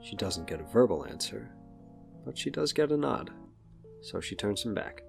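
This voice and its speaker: A man's voice: lowish voice, sing-song, normal speed, vocal fry, faint music behind. A man narrating an audio drama as a scripted present-tense story.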